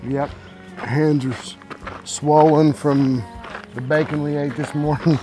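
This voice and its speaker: A man's voice: a little high, fairly even intonation, takes it slowly, music in the background. A man, hosting a hiking vlog in a casual, easygoing way on a wooded trail, filming himself with a phone at arm's length. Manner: folksy, easygoing, talks while walking. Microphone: phone camera at arm's length. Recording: outdoor noise, stream and wind behind.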